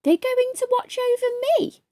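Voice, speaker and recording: A woman's voice: very high-pitched, normal speed, dark tone, dry recording, voice pushed up. A woman voicing an earnest young boy in a storybook, easily awed and full of eager questions. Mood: awed, curious.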